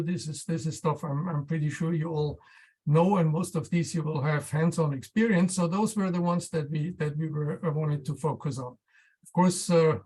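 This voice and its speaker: Halting speaker giving a technical talk. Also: medium pitch, darkish tone, low-quality recording. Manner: halting, repeats words while searching for phrasing. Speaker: researcher presenting a project. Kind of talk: technical talk, loosely scripted presentation.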